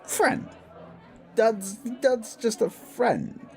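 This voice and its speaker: A man's voice: sits high, wildly swooping pitch, very slow, a little mellow, some chatter behind, voice pushed up, heavily acted. A man playing a brash, short-tempered werewolf bully boss in an audio drama, talking in an impatient, defensive, sweary way. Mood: flustered, defensive.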